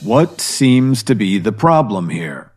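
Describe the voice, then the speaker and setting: Man doing big shot boss, calm and sure of himself, audio drama, lively intonation. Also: lowish voice, slow, husky, soft background music, heavily acted. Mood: calm, authoritative. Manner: calm, assured. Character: influential, composed businessman, deferential to old benefactor.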